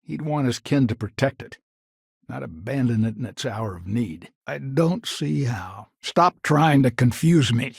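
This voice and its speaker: An actor voicing a proud, stubborn retired patriarch of a frontier founding family in a video game, gruff and folksy, with a gravelly, husky voice. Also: male voice, medium pitch, animated, unhurried, no echo, studio quality, heavily acted. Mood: guarded, then irritated. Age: older. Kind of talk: video game character dialogue.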